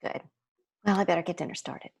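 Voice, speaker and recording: A woman reading a gentle, caring mother in a sitcom script. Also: on the low side, fairly even intonation, fairly quick, creaky, slight background noise. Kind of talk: script table read.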